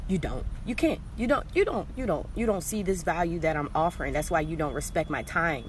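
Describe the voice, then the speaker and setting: Low-pitched young woman telling stories candidly. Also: lively intonation, a little fast, slight background noise. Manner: candid, slangy, emphatic with repeated phrases. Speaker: storytelling vlogger. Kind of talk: casual chatty personal storytime.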